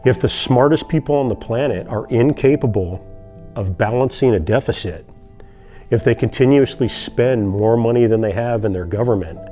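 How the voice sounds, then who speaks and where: A man speaks in an earnest, impassioned monologue, stacking his points through repeated phrases and slowing down to lean on key words. His voice is mellow and warm, and soft music plays beneath him. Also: mid-range voice. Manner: impassioned, repetitive, slow emphatic pauses. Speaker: online video essayist.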